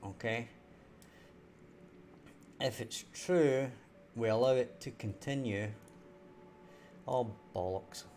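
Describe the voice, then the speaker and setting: A young man with a softened Scottish accent, thinking aloud as he works through a live game development stream. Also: slow pace, long pauses, a little hiss or hum. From Scotland. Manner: thinking aloud while working, low-key. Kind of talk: live coding stream talk.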